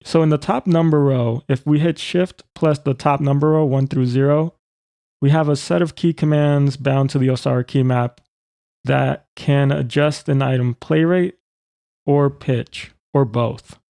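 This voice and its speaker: A man walks through a software tutorial step by step in an informal way. His voice is gravelly and mellow, of middling pitch, recorded close and very dry. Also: steady tone, unhurried, dry recording. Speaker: tutorial presenter.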